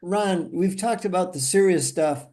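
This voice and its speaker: A man's voice: high voice, unhurried, warm tone. A man hosting a podcast, steering the casual chat and eagerly prompting the others with questions. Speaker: podcast host.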